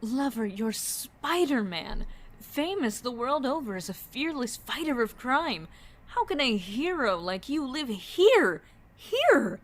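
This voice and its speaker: A woman's voice: high voice, very animated, slow pace, full character voice. A woman voicing a flirtatious, playful costumed antiheroine in a comic book dub, with a coy, purring delivery. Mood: baffled, let down.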